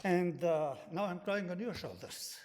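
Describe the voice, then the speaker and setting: Earnest Romanian-accented man, higher voice. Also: a little slow, a little mellow. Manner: earnest, self-deprecating, pleading. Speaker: member of the public commenting. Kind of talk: public comment at a county meeting.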